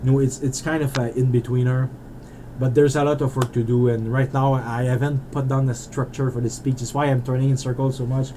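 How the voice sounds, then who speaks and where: A man with a French Canadian accent talks through his game project in a loose, rambling vlog, breaking off and restarting his sentences. His voice is mellow and unforced. A faint hum of background noise sits under the recording. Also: mid-range voice, flat delivery, fairly quick, low-quality recording. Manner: rambling and self-interrupting, with frequent restarts. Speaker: indie game developer. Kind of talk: rambling casual monologue.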